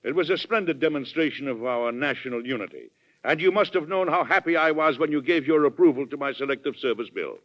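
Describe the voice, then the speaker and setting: An actor playing a firm, troubled president who speaks formally in a 1940s radio drama. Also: male voice, on the high side, expressive, quick pace. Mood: grave. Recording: muffled 1940s radio broadcast recording.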